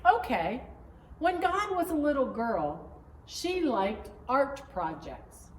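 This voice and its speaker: A woman voicing a gentle, playful father telling a story to his daughter, as a picture-book read-aloud. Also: high voice, very animated, slow pace, full character voice. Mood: playful, patient. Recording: roomy, distant mic, faint background noise.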